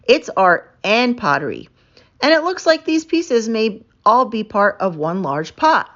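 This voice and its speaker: Storybook young archaeologist man played by a female reader, enthusiastic and explanatory, very animated, slightly muffled recording. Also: fairly high pitch, measured pace. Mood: keen, explaining. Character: eager young male archaeologist, team's explainer.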